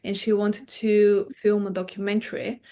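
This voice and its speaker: A woman in her thirties from Bulgaria, a podcast guest telling her story in a relaxed, soft-spoken way that fades quietly at the ends of phrases. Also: mid-range voice, normal speed. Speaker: podcast guest, meditation teacher. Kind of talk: casual conversation, storytelling.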